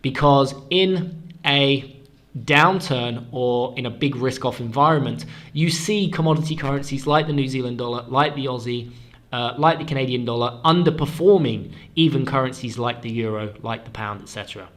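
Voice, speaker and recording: A man with a slightly stuffed-up voice from a cold, hosting a weekly currency market forecast in a brisk, explanatory way and stressing key words. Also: mid-range voice, fairly bright tone. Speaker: forex analyst and show host.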